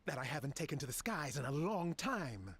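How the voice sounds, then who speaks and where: An actor playing an ancient, bitter manor master in a fantasy game, with a breathy, husky voice and grave, ironic formality. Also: male voice, on the high side, very animated, a little fast, fairly bright tone, full character voice. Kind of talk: scripted fantasy game dialogue.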